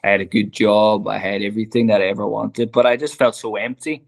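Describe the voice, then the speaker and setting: A man's voice: on the low side, steady tone, brisk, vocal fry. A man from Northern Ireland with a Northern Irish accent, a golf director talking earnestly and heartfelt as a podcast guest. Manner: earnest, heartfelt, repeats "very much like". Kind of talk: casual conversational interview.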